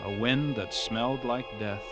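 A man's voice: medium pitch, a little slow, dull audio, close to an everyday voice. A man playing a grim lighthouse keeper telling a nightmare tale in a dramatic 1940s radio drama style. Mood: ominous, intense. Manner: brooding, dramatic, vividly descriptive storytelling. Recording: vintage radio broadcast with music underneath.

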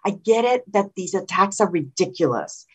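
A woman guest with a slight Colombian Spanish accent, talking politics with a combative edge. Her pitch sits mid-range for a woman, and her delivery swings up and down as she restarts and pushes her points. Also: moderate pace, slightly rough. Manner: animated and combative, frequent restarts. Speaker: state senator, political guest. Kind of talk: casual political interview.

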